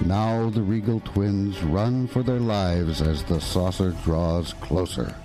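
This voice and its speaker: A man with a low voice narrates a radio drama in a scripted, dramatic recap style. Music runs clearly underneath his words. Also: slow.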